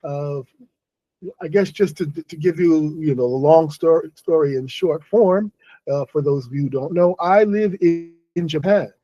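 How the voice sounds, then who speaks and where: A tired middle-aged man talks slowly to his viewers. He stops and restarts often. His voice sits a little high for a man, with a mellow, warm tone, and the room sounds dry and close. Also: no echo, dull audio. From New York City. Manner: halting, many restarts and self-corrections. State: weary after a stressful day. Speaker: online English host. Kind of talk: casual monologue to viewers.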